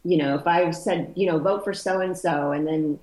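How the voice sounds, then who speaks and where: A woman's voice: fairly low pitch, fairly even intonation, normal speed, darkish tone. Reflective woman in an echoey room. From Poland. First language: Polish. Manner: thoughtful, restarts often, trailing asides. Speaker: interview guest, photographer. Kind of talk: casual conversational interview.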